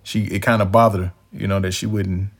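A man's voice: low voice, flat delivery, a little fast. A man hosting a podcast, answering his guest in a hesitant, rambling way with many restarts. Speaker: podcast host. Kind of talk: casual conversational reply.

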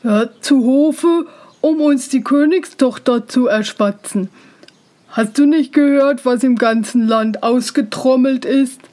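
A woman's voice: high-pitched, slow, dark tone. Lady doing a snooty fairytale older brother, pompous and mocking, takes his time. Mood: boastful. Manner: pompous, condescending. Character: haughty, conceited young elder brothers, mocking. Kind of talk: fairy tale storybook reading.

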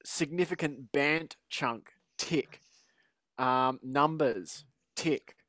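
A man's voice: mid-range voice, drawn-out words, fairly bright tone, no room sound. A man hosting a casual podcast chat, rambling and teasing his co-hosts with lots of laughter. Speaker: podcast host.

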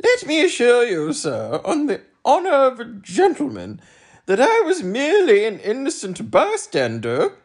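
Prim, jittery storybook bug, very high and drawn out. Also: male voice, huge pitch swings, unhurried, exaggerated voice. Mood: nervous, pleading. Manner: nervous, overly polite, wordy. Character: fussy genteel male humbug bug.

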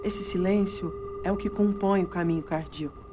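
A woman's voice: lowish voice, fairly even intonation, moderate pace, over music. A woman performing a reading of a poetic dramatic monologue.

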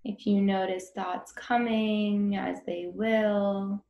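A woman leads a guided breathing meditation at a slow, unhurried pace. Her voice has a noticeable creak at an average pitch, and she instructs gently and informally. The sound is roomy, with audible echo. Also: flat delivery, dull audio.

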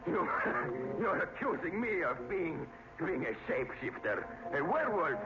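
A man's voice: a little high, very animated, a little slow. An actor in an old-time radio drama playing a sinister, evasive man who is secretly a werewolf, speaking hesitantly and stammering. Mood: defiant, indignant. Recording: muffled, noisy old radio recording.

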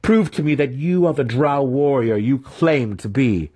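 A man voicing a veteran dark elf weapon master, scornful and derisive, taunting his pupil in a fantasy audiobook. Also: mid-range voice, expressive, measured pace, husky, voice pushed up. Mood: challenging, mocking.

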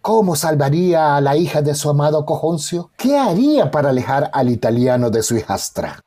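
Animated mellow male radio drama narrator. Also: fairly high pitch, brisk. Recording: faint music under narration.

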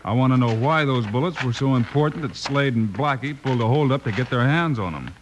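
An actor playing a steady, shrewd American western lawman, firm and matter-of-fact, in old-time radio style. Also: medium pitch, brisk, lightly acted. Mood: puzzled, determined. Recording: faintly noisy vintage radio recording.

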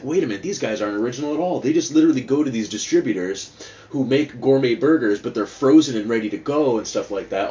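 A man's voice: on the low side, a little mellow. A man hosting a casual, rambling solo podcast, talking in a digressive, sweary and self-mocking way. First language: not Dutch, speaks English fluently. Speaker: podcast host. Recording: dull lo-fi sound with faint noise.

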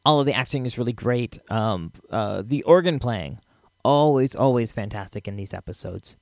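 A man's voice: mid-range voice, lively intonation, normal speed, gravelly, darkish tone, no echo, studio quality. A man hosting a podcast, giving a casual introduction to an episode. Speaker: podcast host.

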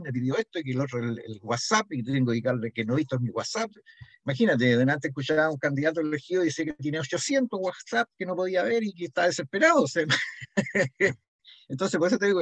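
A man's voice: on the high side, no room sound. An older man with a Chilean accent, reminiscing conversationally as an interview guest and explaining things through examples, often checking that he is followed. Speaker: interview guest, local heritage association member.

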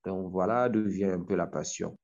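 A man's voice: low voice, moderate pace, gravelly, a little mellow, highs cut off. A man whose first language is Hausa, answering interview questions with many hesitations. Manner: hesitant, many fillers and restarts. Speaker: linguist guest.

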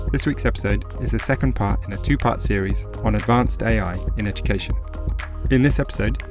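Male podcast host, measured polite questions, mid-pitched voice, music playing behind. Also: vocal fry. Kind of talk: hosting and interviewing on a podcast.

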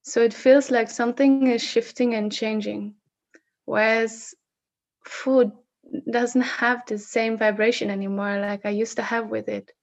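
A woman from the Netherlands with a Dutch accent, sharing personal reflections on a video call in a slow, searching way with frequent restarts. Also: on the high side, fairly even intonation, dull audio. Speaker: session facilitator.

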